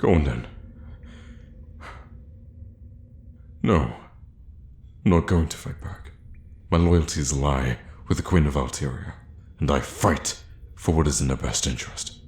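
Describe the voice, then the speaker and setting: An extremely deep voice rings in a roomy, echoing space. It belongs to a scheming royal guard, acted by a man, fiercely loyal to his queen and quick to condescend. He speaks in a hushed, theatrical way with deliberate pauses, in the intimate manner of a fantasy ASMR roleplay. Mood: resigned, defiant. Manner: theatrical, hushed, measured, with sighs and pointed pauses.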